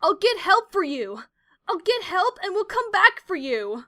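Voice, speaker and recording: A woman acting a brave, high, urgent pony adventurer. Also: big pitch swings, measured pace, pushed and bright, exaggerated voice. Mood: desperate. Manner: urgent, emotional, pleading. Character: brave young pony adventurer, desperate, stubborn, devoted daughter. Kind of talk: audio drama character acting.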